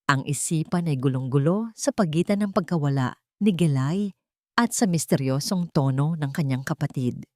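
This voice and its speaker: A woman's voice: sits low, expressive, normal speed, dry recording, studio quality. A woman reading a scripted story as a dramatic narrator. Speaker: narrator of romance stories.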